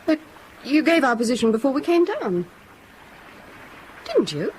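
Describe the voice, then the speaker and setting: An actress playing a strong-willed, caring airline stewardess in a radio drama, questioning and insistent in manner. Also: female voice, high-pitched, very animated, a little slow, darkish tone, a little hiss or hum, low-quality recording. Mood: anxious.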